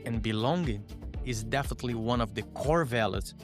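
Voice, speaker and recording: A man hosting an interview podcast, speaking in a measured, explanatory way. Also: mid-range voice, over music. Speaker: podcast host. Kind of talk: interview podcast with scripted promo.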